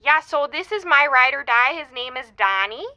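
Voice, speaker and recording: Woman acting a bright, high-voiced gushing caller. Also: animated, normal speed, no room sound, full character voice. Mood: awkward, wry. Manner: gushing, giggly, hesitant phrasing. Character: chirpy, aggrieved married woman, meteorologist calling a radio show. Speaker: radio show caller. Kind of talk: semi-improvised audio comedy drama.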